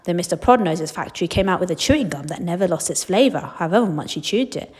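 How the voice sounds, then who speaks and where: A woman's voice: on the low side, quick pace, breathy edge. Woman doing an old grandfather telling a tale. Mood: confiding. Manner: steady, confiding storytelling. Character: old grandfather, patient storyteller.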